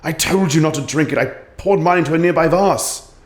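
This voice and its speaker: A man playing a brilliant detective who gives crisp, commanding explanations and grows excitable in an audio drama. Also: a little high, a little fast, close to an everyday voice. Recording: echoey room.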